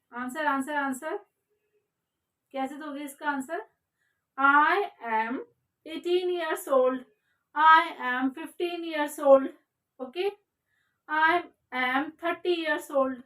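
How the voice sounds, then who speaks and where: A high-voiced woman with an Indian accent teaches a spoken English class at a slow, deliberate pace. She spells each word letter by letter and repeats it for her students. Her voice sounds a little distant from the microphone. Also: fairly bright tone. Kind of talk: spoken English lesson in Hindi and English.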